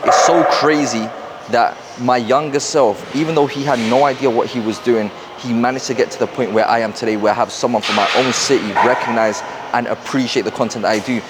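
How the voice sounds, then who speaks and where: A young man with a London accent, talking fast and rambling to camera in a casual motivational vlog, wearing a clip-on mic in a gym. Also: medium pitch, steady tone, very noisy. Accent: London English. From South London, England. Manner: fast, rambling, repeats himself. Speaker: fitness and mindset coach. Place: busy gym.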